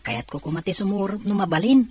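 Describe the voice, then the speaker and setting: A woman playing an observant, concerned young cousin in an Ilocano audio drama, with a husky voice. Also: mid-range voice, expressive, fast, a little mellow. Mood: casual.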